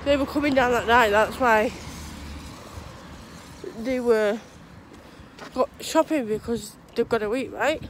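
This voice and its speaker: A woman's voice: fairly high pitch, unhurried. A young woman with a cold, talking through a thick, clogged throat in a hesitant, rambling vlog update while walking outdoors. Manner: rambling, hesitant, many restarts and fillers. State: has a cold, sore throat, runny nose. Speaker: vlogger. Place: outdoors on a street, walking dogs. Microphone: phone held low at arm's length. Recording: phone recording outdoors with traffic noise.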